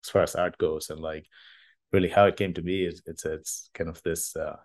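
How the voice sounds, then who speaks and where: Guy from argentina on a podcast, really low voice, kinda halting, thinks out loud. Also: level tone. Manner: hesitant, halting, thinking aloud. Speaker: podcast guest, engineer and artist. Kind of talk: casual interview answers.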